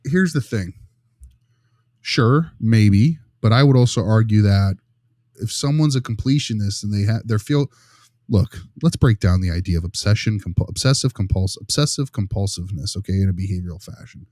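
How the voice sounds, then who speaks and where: Low-voiced man in casual, emphatic discussion. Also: moderate pace, no echo, clean studio sound. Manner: animated, emphatic, rhetorical check-ins like "right?". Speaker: panelist discussing a behavioral case study.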